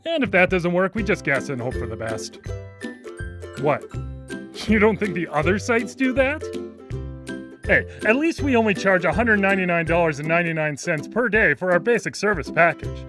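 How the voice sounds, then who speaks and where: A brazen, crooked pitchman for a spoof radio ad. His delivery is showy and very animated. Music plays prominently behind him. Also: moderate pace. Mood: brazen, gleeful. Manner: theatrical salesman delivery. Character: brazen, shady dating-site pitchman, gleefully dishonest. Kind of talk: parody radio commercial.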